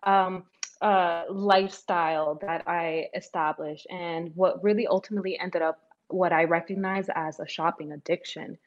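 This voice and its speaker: A woman from the Dominican Republic raised in New York, a guest talking about money in an animated, casual way with quick bursts and frequent laughs. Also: on the low side. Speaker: guest, personal finance creator. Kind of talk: casual interview chat. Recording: dull lo-fi remote audio.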